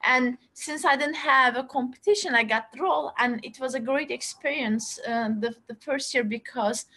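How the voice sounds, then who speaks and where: Brief: young woman, high bright voice, halting webinar host with lots of restarts, laptop mic at home. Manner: halting, many fillers and restarts, laughs often. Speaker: student association president. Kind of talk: casual webinar talk. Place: small room at home, indoors. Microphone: laptop webcam, video call.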